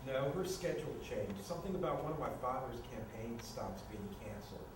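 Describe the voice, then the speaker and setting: A man's voice: mid-range voice, brisk, natural voice. A man acting a fussy, anxious, defensive housemate in a comedic staged reading, exasperated and sarcastic. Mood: anxious, dry. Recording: distant, very echoey room, noisy ambience.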